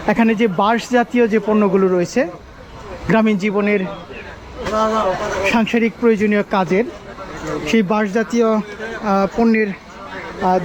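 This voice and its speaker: Guy vlogging at an outdoor market, really high voice, talks to viewers, crowd noise behind him. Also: low-quality recording. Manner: presenter-like, addresses viewers, repeats vendors' answers. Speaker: vlog host interviewing market vendors. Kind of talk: on-location vlog commentary and casual questions to vendors. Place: outdoor rural village market. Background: market crowd chatter.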